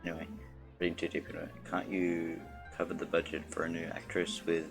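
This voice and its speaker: A man casually commenting on a game livestream and reading out dialogue, with a gravelly voice. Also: low voice, completely flat delivery, a little fast. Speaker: game streamer. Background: game music.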